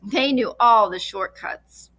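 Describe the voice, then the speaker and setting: A woman's voice: a little high, slow pace, fairly bright tone, dry recording. A woman voicing a curious, cheerful, spirited little girl with a perky manner in a children's storybook.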